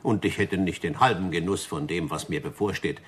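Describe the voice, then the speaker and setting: An eager, credulous gentleman diner in a 1960s radio play. His voice is fairly low and somewhat husky. He speaks in formal, earnest, courteous phrases. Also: fairly quick. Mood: earnest, warm. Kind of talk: 1960s German radio drama acting.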